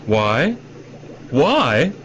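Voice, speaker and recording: A man's voice: medium pitch, big pitch swings, drawn-out words, a bit bright, heavily acted. Actor as a glib, swooping male executive. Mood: cajoling. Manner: overfamiliar, salesman-like cajoling, repeats himself. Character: glib middle-aged male executive, defensive and self-justifying. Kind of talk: radio drama acting. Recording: dull radio drama recording, faint noise.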